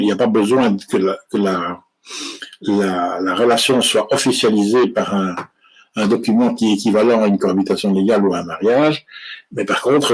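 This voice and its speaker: Husky-voiced male lawyer, hesitant. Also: on the low side, darkish tone, poor audio. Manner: explanatory, frequent hesitations and restarts. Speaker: immigration lawyer. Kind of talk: live Q&A, explaining law to viewers.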